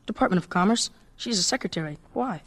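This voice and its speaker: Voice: mid-range voice, animated, fast, a bit bright. Eager, chatty American schoolboy. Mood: chatty, helpful. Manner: artless, eager, gee-whiz. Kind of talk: radio drama acting.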